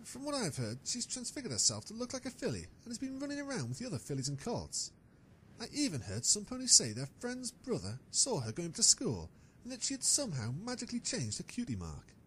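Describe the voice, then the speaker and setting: A man's voice: high-pitched, huge pitch swings, a little fast, fairly bright tone, slight background noise, full character voice, rough recording. A man playing a cocky, gossiping unicorn newspaper reporter with a knowing manner in an amateur audio drama.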